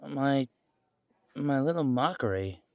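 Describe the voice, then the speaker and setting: A man's voice: mid-range voice, expressive, takes it slowly, smooth, no room sound. A man playing an earnest, naive young werewolf in an audio roleplay, hesitant, defensive and eager to please. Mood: hurt, defensive. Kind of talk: audio roleplay drama acting.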